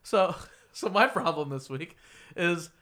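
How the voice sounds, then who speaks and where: A young man hosting a casual interview podcast, talking loudly and laughing often. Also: a little high, slow. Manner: loud, laughing, animated. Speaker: podcast host.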